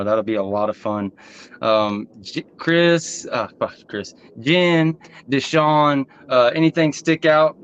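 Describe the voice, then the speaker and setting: A man's voice: medium pitch, fairly bright tone. Man hosting sports chat, rambling, lots of fillers, dull lo-fi sound, slight hiss. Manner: loose, rambling, filler-heavy. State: sweaty, rushed. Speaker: podcast host. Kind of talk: casual sports talk show.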